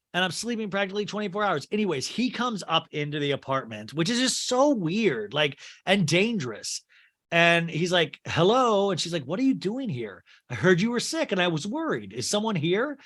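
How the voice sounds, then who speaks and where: A man's voice: high voice, big pitch swings, moderate pace, pushed and bright. A man hosting a podcast with a strained, worn voice, recapping a film scene by scene and acting out its lines in put-on voices. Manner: acts out quotes in shifting put-on voices. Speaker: podcast host.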